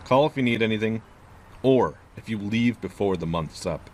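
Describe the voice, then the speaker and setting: Man playing a gruff, low-voiced wary landlord. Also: animated, moderate pace, close to an everyday voice. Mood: stern, businesslike. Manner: gruff, curt, folksy. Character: gruff, wary male landlord of a haunted house. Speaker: landlord of a haunted house. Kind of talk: audio drama acting. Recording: clear background noise.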